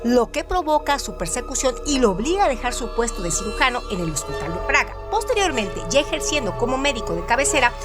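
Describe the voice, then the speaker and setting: Lively high-voiced woman reading trivia. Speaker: radio presenter. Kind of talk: scripted literary trivia narration. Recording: music bed under voice. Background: background music.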